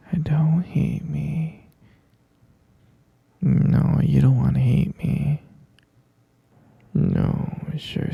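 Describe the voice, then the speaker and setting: A man playing an obsessive, possessive kidnapper in an ASMR boyfriend roleplay, cooing slow, breathy, coaxing endearments to a captive lover. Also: fairly high pitch, mellow, no echo, exaggerated voice. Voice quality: breathy, fry-laden murmur. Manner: slow, coaxing, saccharine pet names. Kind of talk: ASMR boyfriend roleplay, intimate close whispering.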